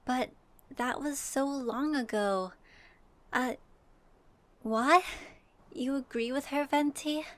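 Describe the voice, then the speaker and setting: A woman playing a gentle, thoughtful young swordswoman traveler, speaking softly to the listener in a fan audio roleplay. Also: high-pitched, takes it slowly, pauses often. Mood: concerned, musing. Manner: soft, reflective, addresses listener directly.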